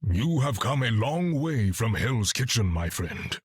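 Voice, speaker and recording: A man's voice: medium pitch, big pitch swings, unhurried, husky, no echo, studio quality. A man playing a proud, dignified warrior king in a video game, with an African accent and formal, regal phrasing. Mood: proud, solemn. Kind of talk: video game character voice acting.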